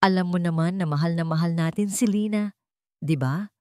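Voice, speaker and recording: A woman's voice: lowish voice, normal speed, darkish tone, smooth, no room sound, studio quality, lightly acted. A woman voicing a weak, deceitful husband in an audiobook drama, hesitant, nervous and pleading.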